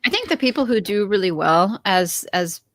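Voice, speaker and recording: A woman's voice: mid-range voice, very animated, moderate pace, a bit bright, no echo, clean studio sound. A woman hosting a casual livestream interview, chatty and playful in her banter. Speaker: host.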